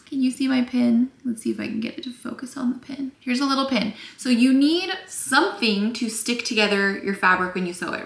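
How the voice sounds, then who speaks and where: A woman's voice: a little high, fairly bright tone. Chatty young woman, slightly scratchy. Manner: chatty, apologetic, lots of fillers. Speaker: sewing instructor. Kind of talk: casual tutorial talk to camera. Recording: echoey room.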